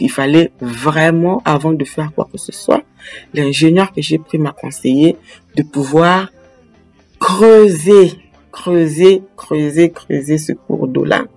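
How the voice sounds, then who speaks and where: Husky-voiced woman teaching to a webcam. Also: sits low, measured pace, darkish tone, soft background music. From Congo. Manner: direct, addresses viewer with many questions. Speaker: life coach teaching farming. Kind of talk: casual video lesson. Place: large indoor office room. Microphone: webcam at desk.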